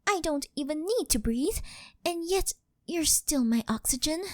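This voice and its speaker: A woman's voice: high-pitched, animated, unhurried, no echo, studio quality. A woman voicing a possessive, worshipful living doll maid in an intimate ASMR roleplay, speaking in a formal, fawning, melodramatic way. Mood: adoring. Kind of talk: scripted ASMR roleplay, intimate monologue to the listener.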